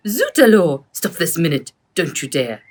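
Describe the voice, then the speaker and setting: A woman voicing an indignant, scolding mother from a children's story, in sharp exclamations. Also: lowish voice, very animated, normal speed. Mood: outraged, scolding.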